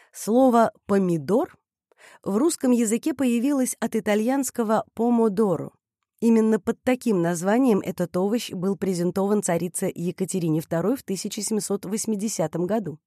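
A young adult woman reading an explanatory narration in an even, scripted manner. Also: mid-range voice, no room sound, clean studio sound. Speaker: presenter.

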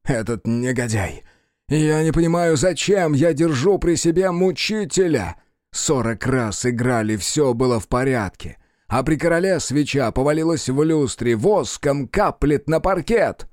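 A man voicing a theatrical actor-playwright, flattering and hot-tempered, who declaims grandly in a stage play reading. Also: mid-range voice, unhurried, no echo, clean studio sound, close to an everyday voice. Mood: furious. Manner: grandly declaiming, slight stammer at first.

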